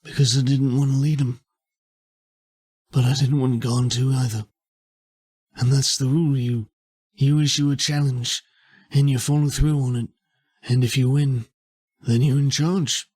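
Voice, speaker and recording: A man playing a bitter, guilt-ridden exile in a tabletop roleplay, with a husky voice and a terse, brooding, pointed delivery. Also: mid-range voice, steady tone, unhurried, long pauses, a little mellow. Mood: guarded, regretful. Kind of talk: improvised tabletop roleplay dialogue.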